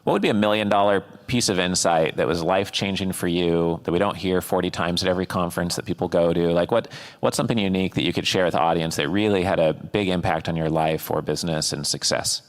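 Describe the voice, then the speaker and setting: A man hosting a conference fireside chat, upbeat and quick with praise, his speech full of fillers. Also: low-pitched, steady tone. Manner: upbeat, filler-heavy wrap-up talk. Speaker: host. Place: conference stage.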